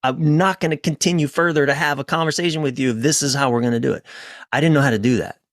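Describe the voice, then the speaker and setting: A man speaking as a podcast guest and relationship coach, telling personal stories in an informal, emphatic, sometimes halting way with casual swearing. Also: a little high, fairly even intonation, no room sound, clean studio sound.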